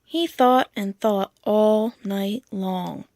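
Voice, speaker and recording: Unhurried woman reading a children's storybook. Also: mid-range voice, a little mellow, no echo. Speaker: storybook reader. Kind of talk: children's picture book read-aloud.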